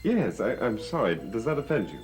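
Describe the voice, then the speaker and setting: An actor playing a brooding, courteous master of a manor house in a gothic soap opera, earnest and dramatic. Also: male voice, on the low side, very animated, brisk. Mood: wistful. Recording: music behind the dialogue.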